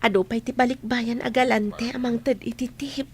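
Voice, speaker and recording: Woman playing an earnest, hopeful masseuse, highish voice. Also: steady tone, moderate pace, husky. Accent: Ilocano. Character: hardworking, hopeful young massage clinic owner. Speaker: radio drama performer. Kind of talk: comedy radio drama acting.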